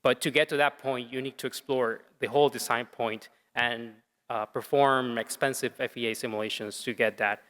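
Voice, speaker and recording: A man of middle pitch answering a jury's question about his team's method. He explains at length, pausing on "um" between points. His voice sits in a big, reverberant hall. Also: steady tone, a bit bright. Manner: explanatory, frequent "um". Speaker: student team member.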